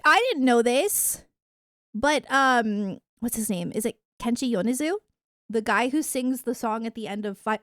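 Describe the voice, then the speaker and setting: A woman chatting casually to viewers on a livestream in a giggly, animated, rambling way. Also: on the high side, no echo, studio quality. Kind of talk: casual livestream chat about anime and games.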